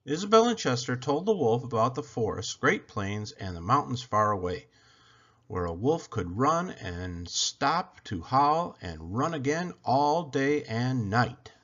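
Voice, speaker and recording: A man reads a picture book aloud as a storyteller. His voice swings widely in pitch and his delivery is deliberate and expressive. The recording is dull and lo-fi. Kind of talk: children's picture book narration.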